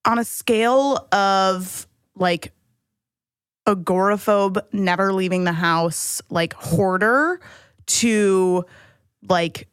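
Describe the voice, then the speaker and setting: A woman's voice: mid-range voice, slow, smooth, no echo, studio quality. A young woman hosting a casual podcast chat, bubbly and teasing, laughing often at her guest. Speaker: podcast host.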